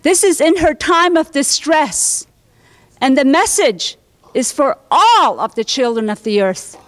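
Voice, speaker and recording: A woman in her thirties hosting a conference, speaking formally and deliberately into a podium microphone in a large hall. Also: high-pitched, lively intonation. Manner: deliberate, ceremonial. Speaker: conference host. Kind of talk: formal welcome speech.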